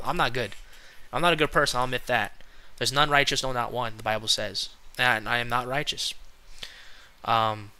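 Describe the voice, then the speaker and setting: A man in his early twenties giving an earnest, casual spoken sermon, halting often with fillers and restarts. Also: medium pitch, sharp tone. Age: 20s. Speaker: lay preacher.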